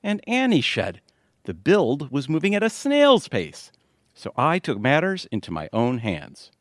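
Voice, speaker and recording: An actor playing a good-natured, exasperated middle-aged husband in an audio theatre comedy, wisecracking and confiding. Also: male voice, fairly high pitch, huge pitch swings, a little slow, no echo, studio quality, heavily acted. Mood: confiding, pleased.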